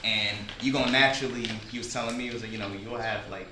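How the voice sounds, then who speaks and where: A man's voice: fairly low pitch, fairly even intonation, fast talker. A man from New Jersey talking casually and a little hesitantly, in a laid-back way, among fellow actors. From New Jersey, US East Coast. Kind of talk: casual talk at a cast table read. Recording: echoey noisy room, distant mic.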